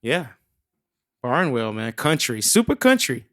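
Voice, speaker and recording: A young man from South Carolina, telling his story in a relaxed, rambling way as a guest on a casual interview show. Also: mid-range voice, unhurried. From South Carolina, USA. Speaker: guest musician.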